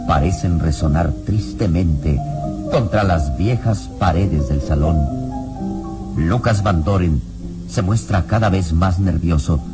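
A man with a very deep voice narrates a radio drama. His delivery is solemn and suspenseful, set against a prominent musical score. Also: slow pace, over loud music.

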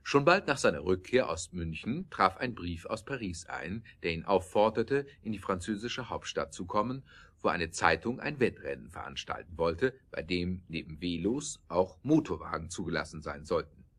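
A man narrating a scripted audio drama in a measured storytelling manner. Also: low-pitched, very animated, a bit bright.

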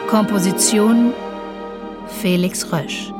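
A woman's voice: mid-range voice, fairly even intonation, slow pace. A woman announcer formally reading out the title and credits of a radio play. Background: music behind the voice.

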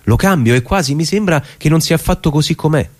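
A man's voice: a little high, lively intonation, quick pace, a little mellow. A man performing a theatrical recitation of a literary text. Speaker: stage performer.

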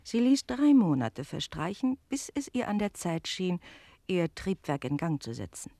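Spirited storytelling innkeeper woman, creaky dry voice. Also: fairly low pitch, moderate pace, no echo. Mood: confiding, narrating. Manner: expressive, confiding raconteur. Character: worldly innkeeper's wife, spirited, teasing storyteller. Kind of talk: German radio play acting, storytelling.

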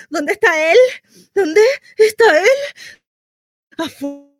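A woman's voice: very high voice, no room sound, clean studio sound. An actress with a Chilean accent playing a frantic, sardonic pregnant woman in an exclamatory, melodramatic theatrical monologue. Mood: panicked, in pain. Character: frantic, sardonic pregnant woman going through labour and motherhood.

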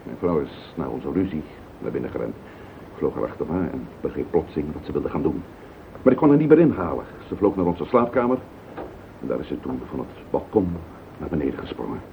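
Volatile, deep-voiced guilty husband. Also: male voice, sing-song, moderate pace, vocal fry, heavily acted. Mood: defensive, agitated. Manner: indignant, blustering, prone to outbursts. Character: hot-tempered guilty husband, a murderer feigning innocence. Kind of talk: Dutch radio play acting. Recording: muffled phone-like old radio recording, loud rumbling noise.

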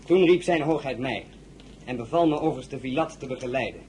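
A man's voice: medium pitch, brisk, darkish tone, slight background noise, natural voice. A man playing a dutiful aristocratic army officer in a radio drama, reporting in an earnest, formal manner. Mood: slightly aggrieved.

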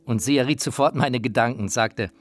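A man's voice: mid-range voice, fairly quick. A man presenting a documentary in scripted, first-person narration.

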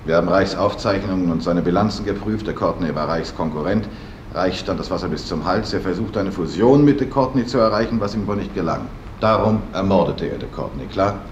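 An actor playing a shrewd, relentless police investigator in a science fiction radio drama, speaking with insistent, deliberate emphasis. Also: male voice, deep voice, animated, recorded from a distance, slight background noise. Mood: emphatic, explaining. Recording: roomy studio echo.

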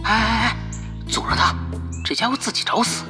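A man playing a pragmatic, smooth-talking, scheming gamer in a radio drama, ingratiating and calculating. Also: high voice, fairly quick, music in the background, close to an everyday voice.

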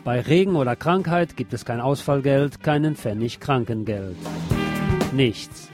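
A man's voice: mid-range voice, a little slow. A man reads scripted radio commentary as a host, with an emphatic, indignant delivery. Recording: music bed under the voice. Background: music.

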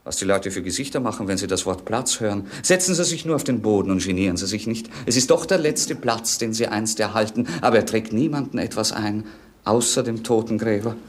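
An actor playing a bored, witty young prince in a 1950s radio play, with a mannered, mocking stage delivery. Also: male voice, fairly low pitch, fairly quick, natural voice.

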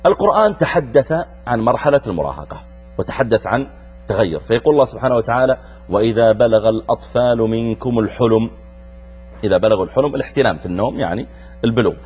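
A man's voice: mid-range voice, lively intonation, fast talker, a little mellow, husky, dull audio, soft background music. A middle-aged man from Arabia, a preacher hosting a youth show who talks to a young audience and asks them questions. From Saudi Arabia. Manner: engaging, addresses listeners directly, asks them questions. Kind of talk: lively talk to a young audience.

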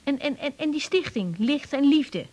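A woman's voice: high voice, expressive, normal speed, darkish tone. An actress playing a naive, confiding young woman doctor with emotional delivery in a 1980s radio drama, with a Netherlands Dutch accent. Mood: surprised, anxious, relieved.

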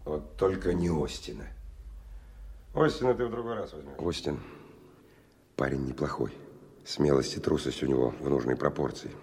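An actor playing a hard-bitten, world-weary stalker who narrates a radio drama in a wry, colloquial, husky voice. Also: male voice, a bass voice, moderate pace, pauses often, darkish tone, exaggerated voice. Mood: wary, brooding. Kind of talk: 1990 Russian radio drama, first-person narration.

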